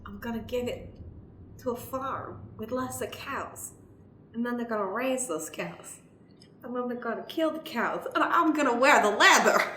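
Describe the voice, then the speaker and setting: High-voiced gleeful schemer, played by a woman, echoey room. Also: moderate pace, bright, forceful tone. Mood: gleeful, satisfied. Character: gleeful, greedy woman claiming her reward. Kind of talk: improvised radio play acting. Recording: echoey room with faint noise.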